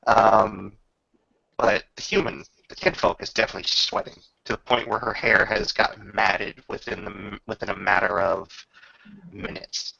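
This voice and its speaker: Gravelly, forceful man running a game. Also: on the low side, little pitch movement, moderate pace, muffled recording. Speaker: game master. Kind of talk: tabletop roleplay narration and casual rules talk.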